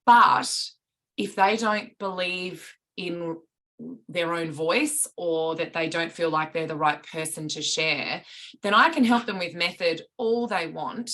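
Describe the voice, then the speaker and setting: Woman speaking coach, low voice with a bright edge, thoughtful podcast guest. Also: slow. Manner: articulate, reflective, restarts mid-sentence. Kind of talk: podcast interview answers.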